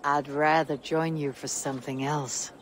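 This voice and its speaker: A woman's voice: deep voice, steady tone, unhurried, very noisy. A woman playing a bold, blunt, resolute female Viking warrior in a dramatic video game cutscene. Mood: sly, flirtatious. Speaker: game protagonist.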